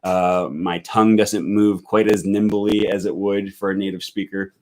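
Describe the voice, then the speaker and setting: A man's voice: low-pitched, steady tone, moderate pace, darkish tone. An American man hosting a language-learning live stream, thinking aloud with frequent fillers and restarts as he reads viewer comments. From United States.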